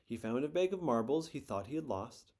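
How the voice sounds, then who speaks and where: A man reading a children's picture book aloud in a gentle, expressive storytelling manner. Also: medium pitch, brisk.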